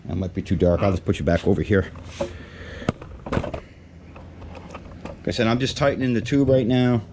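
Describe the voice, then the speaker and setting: Guy with a lowish voice doing a casual mechanic how-to, short muttered steps, lots of clanking tool noise. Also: unhurried. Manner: talks in short muttered steps while working. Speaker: DIY mechanic demonstrating a repair test. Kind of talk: casual hands-on how-to narration. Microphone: handheld camera moved around while working. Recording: clanking tools and workshop noise.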